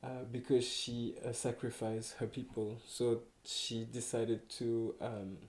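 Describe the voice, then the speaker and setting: A man's voice: medium pitch, little pitch movement, takes it slowly, a little mellow. A young man from Paris with a French accent, speaking casually as a textile artist guest, with many hesitations, restarts and laughs. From France, Paris. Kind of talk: casual conversation. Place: small farmhouse room, indoors. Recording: echoey room.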